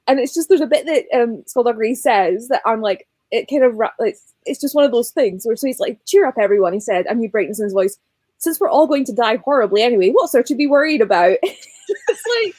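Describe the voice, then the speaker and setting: A woman's voice: on the high side, animated, fairly quick, a little mellow, no echo. A woman in a casual group book chat, talking informally and ramblingly and often quoting as she goes. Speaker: guest on a book readalong livestream.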